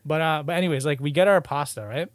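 Fast-talking young man, casual podcast chat. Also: fairly high pitch, dry recording. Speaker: podcast host. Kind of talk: casual chat, slangy storytelling.

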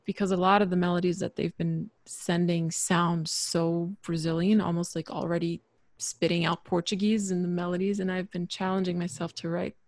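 A woman musician being interviewed over a video call, talking reflectively with frequent fillers and soft laughs. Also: lowish voice, flat delivery, moderate pace, dry recording, clean studio sound. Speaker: musician guest. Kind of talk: relaxed interview chat.

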